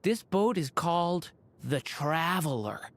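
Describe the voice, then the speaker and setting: A woman voicing a gentle, innocent young dragon boy in a video game, earnest and hesitant, with a slight stammer. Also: low voice, lively intonation, takes it slowly, exaggerated voice. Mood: warm, shy. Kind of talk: video game character voice lines.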